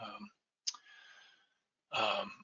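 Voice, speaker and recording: A man's voice: extremely slow, pushed and bright, dull audio. A middle-aged man presenting a slide lecture from his home office, talking conversationally and checking in with "right?" as he goes. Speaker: lighting designer presenting a webinar. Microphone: webcam and computer mic on a video call.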